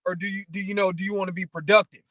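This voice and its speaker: A man's voice: high-pitched, level tone, racing, a bit bright, no echo. A man chatting casually and motivationally as a talk show co-host, rambling through anecdotes and often checking in with the listener.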